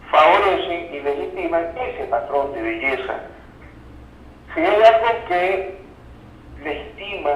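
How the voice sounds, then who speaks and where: Man, halting, in a roomy distant recording. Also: medium pitch. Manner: halting, repeats words while searching for phrases. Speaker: interview guest, university professor and researcher. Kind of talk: interview, explaining political and academic ideas. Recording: roomy, distant, dull sound with faint noise.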